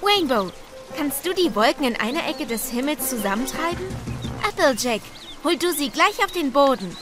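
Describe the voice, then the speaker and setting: A woman's voice: high voice, very animated, moderate pace, music in the background. A woman playing an earnest, eager young female pony who studies magic, in an audio drama. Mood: confident. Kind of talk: fan audio drama acting.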